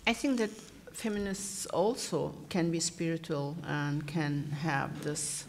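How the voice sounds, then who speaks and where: Woman with halting English. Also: low voice, lively intonation, slight background noise. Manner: halting non-native English, searching for words. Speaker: panel guest. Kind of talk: panel discussion answer. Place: public debate hall.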